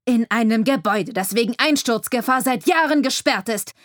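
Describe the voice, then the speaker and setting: A bullying, heavyset male sheriff, put on by a woman reading the story aloud, menaces and scorns in a squashed mumble, his mouth barely open. He sits a touch above an ordinary talking voice and comes across loud and overbearing. The room around him is dry and close. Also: mid-range voice, normal speed, dry recording, close to an everyday voice. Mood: shouting. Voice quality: speaks through barely opened mouth.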